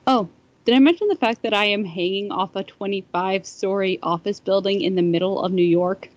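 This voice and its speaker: A woman's voice: mid-range voice, fairly quick, highs cut off. A woman reading a first-person story aloud on a podcast, sometimes stumbling over the words. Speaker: fan fiction podcast reader.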